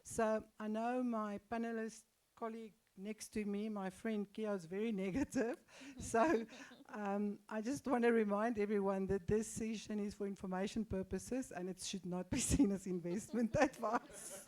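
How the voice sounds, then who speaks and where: A woman panelist giving measured, explanatory remarks on investing in a panel discussion. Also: mid-range voice, fairly even intonation, a little mellow.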